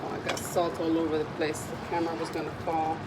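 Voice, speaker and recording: A woman with a raspy voice from a lingering cold, chatting casually as she demonstrates a recipe in her home kitchen. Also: low-pitched, monotone, quick pace, very noisy. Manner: chatty, meandering, with frequent ums. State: recovering from a cold. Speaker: cooking video host.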